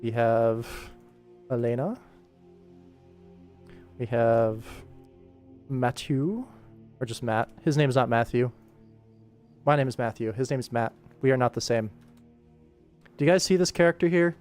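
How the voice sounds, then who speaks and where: A young man with a mid-pitched voice talks casually as an animator streaming his work. He rambles, jokes and pokes fun at himself as he goes. Music plays behind him. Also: a little slow, pauses often, a little mellow. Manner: rambling, self-deprecating, jokey. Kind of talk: casual livestream chat while working. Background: background music.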